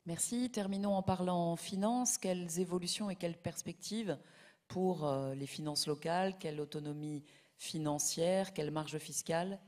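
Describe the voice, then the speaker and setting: A woman moderating a formal hearing, putting questions in a halting way with pauses as she phrases them. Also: on the low side, a little mellow.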